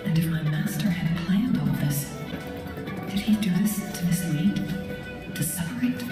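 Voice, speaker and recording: A woman playing a calm, thoughtful strategist in a video game's inner monologue, deliberate and formal, with heavy reverb. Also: on the low side, far from the mic. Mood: pensive, wary. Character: composed, thoughtful grown woman strategist, powerful fire-wielder. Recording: long cavernous reverb, music behind.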